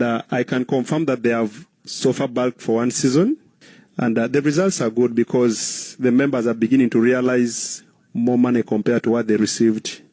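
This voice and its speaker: A man from Uganda with a Ugandan accent, answering interview questions in a measured, earnest way, explaining things in short phrases. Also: mid-range voice, darkish tone, no echo. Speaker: guest, cooperative trainer.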